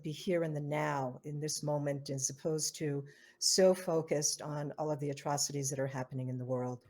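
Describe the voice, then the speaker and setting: A woman's voice: low-pitched, dark tone. A woman hosting an online interview, affirming her guest with frequent fillers and repeated words. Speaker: host of foundation interview.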